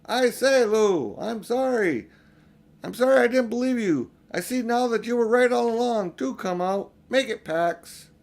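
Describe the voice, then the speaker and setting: Man playing a storybook schoolboy, raised high voice, loud calls, grudging, pleading, sulky. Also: unhurried, creaky, heavily acted. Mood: pleading, apologetic. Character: sulky, self-excusing schoolboy shouting in a winter wood.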